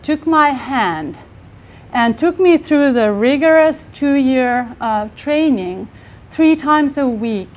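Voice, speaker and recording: A soft-voiced woman in her forties giving a live workshop talk, earnest, with many fillers and restarts. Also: on the high side. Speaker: workshop speaker. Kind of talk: conference talk. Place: live workshop room with audience.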